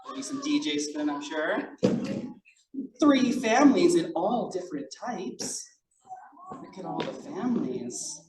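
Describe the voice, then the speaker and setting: A person reading picture books aloud to children in an animated, encouraging way, with chatty asides. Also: mid-range voice, measured pace, pauses often, a crowd in the background. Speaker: drag storytime reader. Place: echoey indoor room, library event. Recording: roomy, noisy, distant mic.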